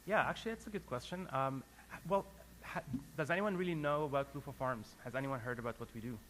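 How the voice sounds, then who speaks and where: A man's voice: medium pitch, fast talker, a bit bright, a little hiss or hum. A man who grew up in Lebanon, a company founder giving a business presentation to an audience, earnest and hesitant, with many fillers and restarts.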